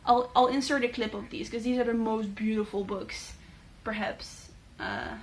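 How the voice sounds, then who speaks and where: Chatty Dutch woman with a higher voice. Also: fairly even intonation, moderate pace, a little hiss or hum, poor audio. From Netherlands. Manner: chatty, many fillers, thoughtful. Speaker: book channel host. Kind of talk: casual talking-head vlog.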